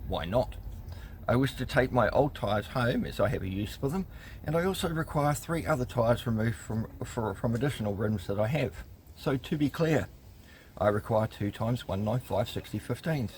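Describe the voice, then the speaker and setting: A man's voice: medium pitch, lively intonation, moderate pace, a little mellow, slight background noise. A man, telling a customer complaint story in a wry, exasperated way and reading emails aloud with lots of ums. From New Zealand. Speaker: consumer rights vlogger.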